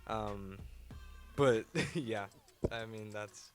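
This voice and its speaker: A man answering casually in an interview in a halting way, with many pauses. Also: lowish voice, fairly even intonation, soft background music. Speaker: young filmmaker.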